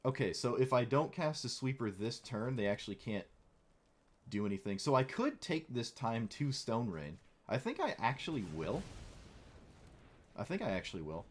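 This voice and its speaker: A man's voice: mid-range voice, very animated, moderate pace. Wry young man talking through a card game. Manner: chatty, wry, playfully mock-dramatic. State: slightly hoarse. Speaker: streamer. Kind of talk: casual gameplay commentary.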